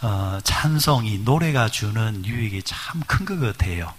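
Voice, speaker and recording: A man's voice: fairly low pitch, expressive, unhurried, fairly bright tone. A middle-aged man preaching a sermon at a midweek prayer service. He talks conversationally, asks the congregation questions, and uses many fillers. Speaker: pastor.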